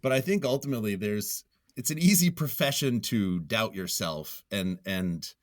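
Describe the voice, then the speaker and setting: A man with a fairly low voice talks as a writer being interviewed, casual and reflective. He feels his way through each thought, often repeating a phrase before moving on. The sound is close and dry. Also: animated, dry recording. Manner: halting, thinking aloud, circles back to repeat phrases. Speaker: podcast guest, nonfiction writer.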